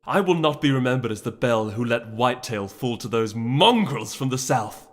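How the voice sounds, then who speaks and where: A man's voice: mid-range voice, animated, normal speed, natural voice. A man voicing a proud, resolute noble mare who speaks formally and imperiously in a dramatic fantasy audiobook. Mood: cold, determined.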